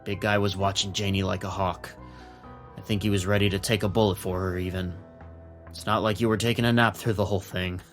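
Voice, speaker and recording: A low, gruff police captain, acted by a man, shrewd and streetwise. He talks plainly and with command, in a noir audio drama over faint music. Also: level tone, brisk, close to an everyday voice. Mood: confiding, knowing.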